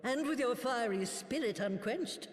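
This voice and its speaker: An actress playing a crotchety, sharp-tongued old woman, a master sage in a fantasy game, with wry, drawn-out delivery. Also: a little high, expressive. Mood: fond, teasing. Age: older. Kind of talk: fantasy game cutscene acting. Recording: echoey hall with long reverb.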